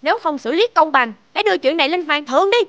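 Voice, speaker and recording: A woman's voice: high voice, lively intonation, very fast, fairly bright tone, no echo, dull audio. A woman voicing an outspoken, forthright villager in a children's fairy-tale cartoon. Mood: indignant.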